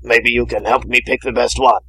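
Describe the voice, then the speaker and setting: A man's voice: mid-range voice, flat delivery, normal speed, creaky, pushed and bright, slightly rough, heavily acted. Male narrator reading a blunt, determined young man, firm and plain-spoken. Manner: blunt and matter-of-fact.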